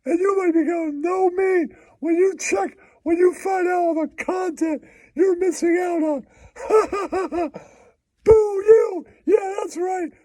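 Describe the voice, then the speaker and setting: Squeaky, giggling cartoon mouse puppet. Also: male voice, fairly even intonation, slow, dark tone, no room sound. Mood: taunting, giggly. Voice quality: squeaky falsetto. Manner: giggly, repeats taunting catchphrases. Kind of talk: comic puppet show promo.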